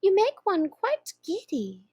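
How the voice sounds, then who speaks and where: A polite, curious young girl from a storybook, as a woman reads her lines, asks her questions in a prim, earnest way. The voice sits high and moves a lot. It sounds close and dry. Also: huge pitch swings, slow, warm tone, no room sound, dull audio, full character voice. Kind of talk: storybook reading.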